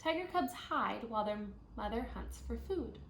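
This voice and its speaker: A woman teacher reading a children's nonfiction book aloud, thinking out loud and guiding young listeners as she goes. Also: fairly high pitch, lively intonation, moderate pace, vocal fry. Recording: roomy echo, audible background noise.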